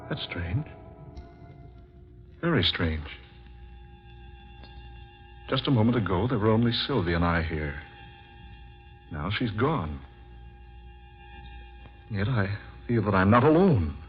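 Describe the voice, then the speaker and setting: Haunted suave gentleman, widely swinging pitch, formal, theatrical, 1940s radio horror, music behind. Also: very animated, slow pace, long pauses, highs cut off. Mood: frightened. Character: suave, genteel gentleman haunted and easily rattled by fear.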